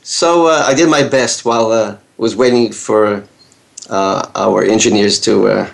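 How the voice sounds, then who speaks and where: A man's voice: on the low side, steady tone, unhurried, gravelly. A man hosting a radio interview who speaks hesitantly, with many fillers and restarts. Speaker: radio host.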